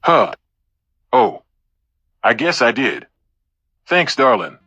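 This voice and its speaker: A man's voice: mid-range voice, big pitch swings, slow pace, sharp tone, slightly rough, dry recording, muffled recording, full character voice. A man playing an oblivious, leering convenience store clerk in an audio play, casual and overfamiliar. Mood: oblivious, friendly.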